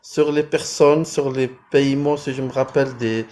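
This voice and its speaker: A man chatting as a guest on a livestream, halting and supportive, often searching for words. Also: mid-range voice, steady tone, darkish tone. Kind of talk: casual livestream chat.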